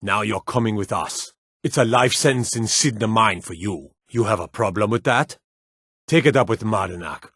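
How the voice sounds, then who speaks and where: A man's voice: lowish voice, moderate pace, a bit bright, husky, no echo, clean studio sound. An actor playing a stern, menacing and authoritative male city guard in a fantasy video game.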